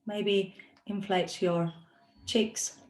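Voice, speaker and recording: A woman leading a guided meditation as a yoga nidra teacher, speaking in a soothing, instructional manner with long pauses. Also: mid-range voice, fairly even intonation. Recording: roomy, echoey sound.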